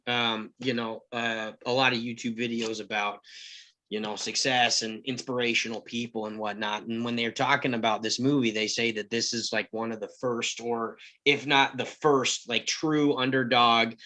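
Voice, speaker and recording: A man's voice: mid-range voice, normal speed, sharp tone. A man in a casual group discussion on a video call, rambling and thinking aloud with lots of fillers. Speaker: table read cast member.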